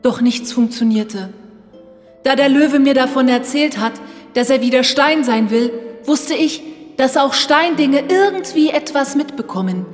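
A woman's voice: sits high, animated, measured pace. A woman playing a remorseful sorceress and loving mother, speaking earnestly in a children's fairy-tale audio drama. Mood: explaining. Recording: long reverb, music underneath.

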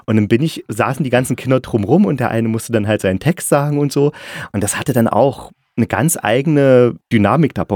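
A man's voice: mid-range voice, brisk, a little mellow, no room sound, studio quality. A man chatting casually as an interviewer, often breaking off and rephrasing his sentences mid-way. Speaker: interviewer, podcast host.

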